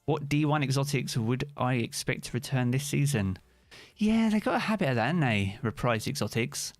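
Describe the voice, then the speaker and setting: British man, live-stream chat, relaxed and talkative, dry close mic, faint music underneath. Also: medium pitch, normal speed, no echo. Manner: chatty, relaxed, answers chat by name. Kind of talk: casual live-stream chat reading viewer comments.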